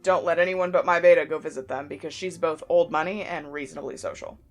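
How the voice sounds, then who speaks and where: A woman's voice: deep voice, fairly even intonation, fast talker, soft background music, close to an everyday voice. A woman voicing a brash, combative young fighter in a fan audio drama, who speaks in a blunt, cocky, teasing way.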